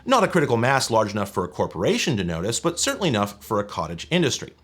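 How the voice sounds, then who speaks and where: A man's voice: on the low side, very animated, very fast, fairly bright tone, breathy edge. A man in his thirties hosting a tech history video, reading scripted narration in a conversational way with wry, casual asides. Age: 30s. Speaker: host of a tech history show.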